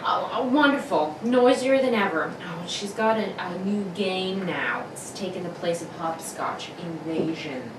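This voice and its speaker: A woman playing an indulgent, amused suburban mother in a radio drama, with a gently teasing manner. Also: on the low side, lively intonation, unhurried, hardly any pauses. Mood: pleased, chatty. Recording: roomy, distant mic, faint noise.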